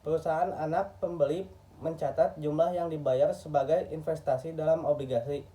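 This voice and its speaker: A man's voice: on the high side, fairly even intonation, moderate pace, mellow. A man from Indonesia reads a scripted lecture in a formal textbook manner, stumbling over a word now and then. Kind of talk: scripted lecture narration, read aloud. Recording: muffled, distant microphone.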